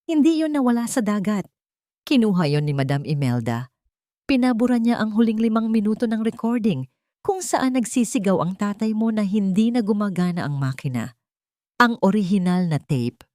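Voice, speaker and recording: A woman's voice: medium pitch, a little mellow, no room sound, clean studio sound, voice pushed up, lightly acted. A woman doing a gasping, dying old mechanic. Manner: strained, gasping for breath. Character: dying old male mechanic, weak and urgent.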